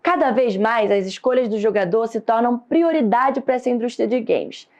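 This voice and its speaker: A woman with a Brazilian Portuguese accent, reading a scripted lesson in a steady, explanatory way. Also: a little high, normal speed. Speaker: course instructor. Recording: dull lo-fi sound.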